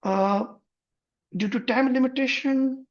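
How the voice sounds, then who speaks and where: A man teaching a software tutorial, walking through an example methodically and often correcting himself mid-sentence. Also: very high-pitched, slow. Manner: methodical, step-by-step, frequent self-corrections. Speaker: software instructor. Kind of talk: screen-share tutorial explanation. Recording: dull lo-fi recording.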